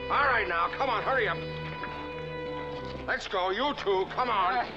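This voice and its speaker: An actor playing a gruff, bossy male lawman in a campy 1960s television show, barking hurried orders. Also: high-pitched. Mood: bossy, impatient. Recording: dull old TV soundtrack, loud music.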